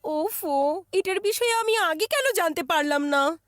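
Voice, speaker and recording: A woman playing an excitable, bargain-loving mother in a cartoon, exclamatory, with music behind. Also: very high-pitched, a little slow, dry recording, studio quality, exaggerated voice. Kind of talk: Bengali cartoon dub acting.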